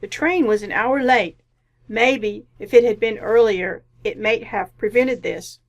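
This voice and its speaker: A woman's voice: a little high, a little slow. A woman playing a polite, scheming murder suspect who feigns innocence in an old-time radio mystery, in a melodramatic manner. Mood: politely helpful. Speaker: amateur radio actor.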